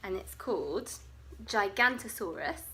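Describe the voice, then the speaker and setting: A young woman reading a bedtime story aloud, expressive and often dropping to a hushed whisper. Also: mid-range voice, measured pace, faint music behind.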